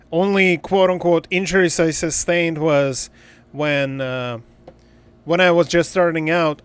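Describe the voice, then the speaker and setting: Man, game streamer, fairly high clear voice, slow halting pace, casual, blunt, joking. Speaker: game livestreamer. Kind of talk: casual livestream chat while gaming.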